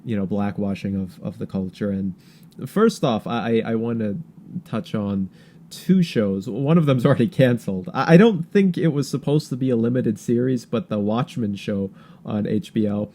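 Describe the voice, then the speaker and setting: A man co-hosting a podcast in casual political chat, halting and repeating words as he searches for his thoughts. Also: lowish voice, dark tone, a little hiss or hum. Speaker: podcast co-host.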